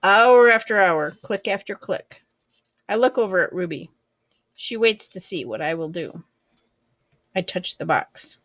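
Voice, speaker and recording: A woman reading a children's book aloud expressively, with deliberate, measured phrasing. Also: on the low side, lively intonation, a bit bright, dry recording. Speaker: storybook reader.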